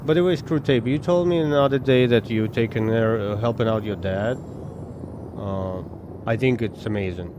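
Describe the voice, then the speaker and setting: A man's voice: on the low side, moderate pace. Armenian man chatting over game noise. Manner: rambling, repeats words, talks to chat. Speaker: game streamer. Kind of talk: casual live-stream chat while gaming. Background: game sounds.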